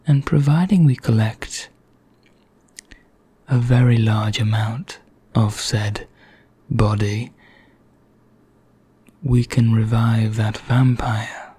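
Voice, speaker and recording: A man playing a genteel, secretive immortal vampire in an ASMR roleplay, speaking in a hushed, deliberate, breathy half-whisper. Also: medium pitch, steady tone. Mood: confiding, secretive. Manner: slow, deliberate, genteel and conspiratorial. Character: bored, secretive immortal male vampire, darkly courteous.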